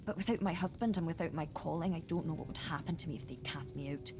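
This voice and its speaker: A woman voicing a grieving widow in a fantasy role-playing game, sharp-tongued yet sorrowful. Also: low-pitched, fast talker, background noise, close to an everyday voice. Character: grieving, bitter widowed tribeswoman. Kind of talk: video game voice acting.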